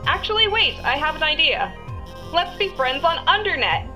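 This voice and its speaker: A woman's voice: high-pitched, sing-song, normal speed, pushed and bright, faint music behind, full character voice, low-quality recording. A woman voicing a shy, nervous female lizard scientist who stammers and hesitates often, in a fan-voiced game. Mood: chatty.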